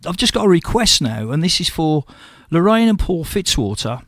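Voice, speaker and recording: A man hosting a local radio show, reading out a listener's request in a casual, halting way with many pauses. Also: fairly high pitch, sing-song, no echo, studio quality. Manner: hesitant, with frequent pauses and fillers. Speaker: radio host. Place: radio studio.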